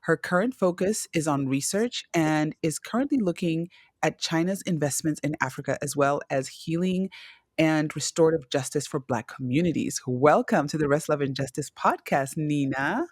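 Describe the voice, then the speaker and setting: A woman's voice: low voice, lively intonation, normal speed, no room sound, studio quality. A woman from Zimbabwe, hosting a podcast interview in a chatty, affectionate way. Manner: chatty, affectionate, reads intro aloud. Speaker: podcast host.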